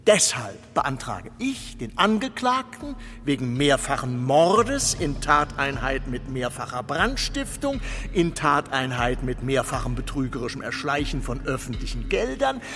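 An actor playing an officious, self-righteous public prosecutor in a radio drama, pedantic and long-winded in legal language. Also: male voice, medium pitch, very animated, a little slow, fairly bright tone. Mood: pompous, accusing. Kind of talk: German radio drama acting. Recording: long reverberant hall, faint music behind.

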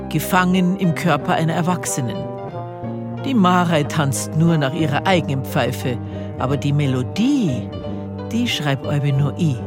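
A woman playing a deceased old Bavarian grandmother and innkeeper, wryly and self-assuredly narrating an audio drama in dialect. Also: low voice. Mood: knowing, fond. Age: older. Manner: storytelling, self-assured, dry. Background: music with brass.

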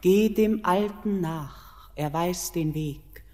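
A mysterious queen of the mountain in an old radio play, low-voiced and dark in tone. She speaks slowly and solemnly, drawing her words out. A long hall reverb trails each line. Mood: entreating. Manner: slow, solemn, sinking to hushed whispers. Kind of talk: classic German radio play acting.

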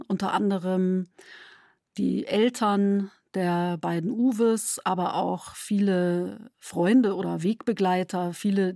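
A woman giving a measured, explanatory interview statement as a dramaturg. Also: mid-range voice, warm tone, dry recording, clean studio sound.

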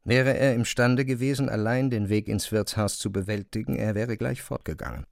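A man's voice: medium pitch, moderate pace, gravelly, no room sound, clean studio sound. A man reading scripted literary narration as the narrator of a drama.

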